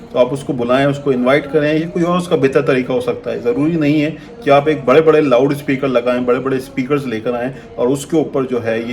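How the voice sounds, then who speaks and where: A man with a Pakistani accent giving an earnest opinion monologue to viewers, often posing rhetorical questions. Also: medium pitch. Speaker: vlogger. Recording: loud outdoor street and traffic noise.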